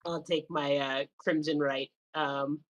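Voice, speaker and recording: A woman's voice: low-pitched, fairly even intonation, takes it slowly. A woman playing a tabletop game, talking casually and very hesitantly, with many stops and starts.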